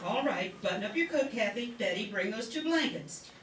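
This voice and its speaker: A woman playing a practical, gently fretful family mother in an old-time radio comedy. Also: a little high, lively intonation, normal speed. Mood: worried. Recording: roomy, distant mic, faint noise.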